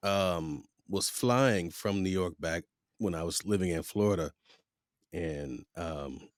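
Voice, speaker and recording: A middle-aged man from the United States hosting a podcast, telling stories in a rambling, animated way. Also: deep voice, slow, dry recording, studio quality. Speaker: podcast host. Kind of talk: casual interview chat.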